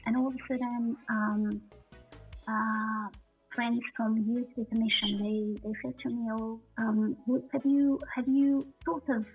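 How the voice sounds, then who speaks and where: A woman from Bulgaria giving a personal testimony as an interview guest, speaking haltingly with repeated words. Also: fairly high pitch, fairly even intonation, slightly rough. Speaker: guest sharing her faith testimony. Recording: muffled distant lo-fi call, faint music.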